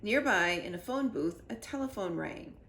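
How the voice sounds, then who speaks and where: A woman narrating a children's storybook in an expressive read-aloud style. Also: medium pitch, normal speed. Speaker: storybook reader. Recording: echoey room with faint noise.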